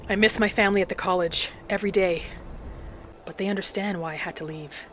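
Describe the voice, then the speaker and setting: A woman voicing an earnest, vengeful young battle mage in a dramatic, deliberate first-person fantasy roleplay. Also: medium pitch, fairly even intonation, a bit bright, a little hiss or hum, natural voice. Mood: reflective. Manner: dramatic, deliberate, thinking aloud in character.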